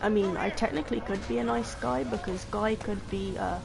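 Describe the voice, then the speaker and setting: A woman streamer with a low voice, chatting casually and rambling to her chat over gameplay through a distorting mic. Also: fairly even intonation, a little fast, gravelly.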